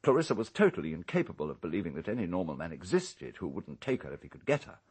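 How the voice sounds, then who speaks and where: An actor playing an urbane, calculating English island owner in a radio drama, speaking in a measured, precise, coolly unrepentant way. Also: male voice, low-pitched, big pitch swings, a little mellow, husky, heavily acted. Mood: wry, knowing.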